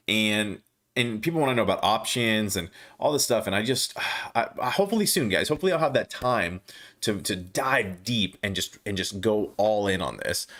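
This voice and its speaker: Hoarse man chatting on a stock stream. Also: lowish voice, fairly bright tone. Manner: halting, many restarts and fillers. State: losing his voice, clearing throat. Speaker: stock livestream host. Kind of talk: casual livestream chat reading viewer comments.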